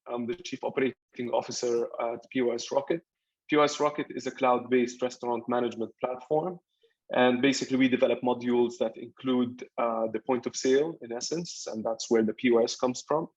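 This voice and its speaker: A man gives an orderly, businesslike introduction as an executive on a webinar panel. His pitch is an ordinary middle range. The video-call audio is dull and lo-fi. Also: a little mellow.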